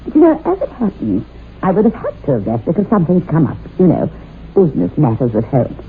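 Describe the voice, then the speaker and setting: An actor voicing a falsely sweet, menacing old aunt with affected gentility, in a radio horror drama. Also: male voice, a little high, wildly swooping pitch, fast talker. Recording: tinny, hissy old radio drama recording.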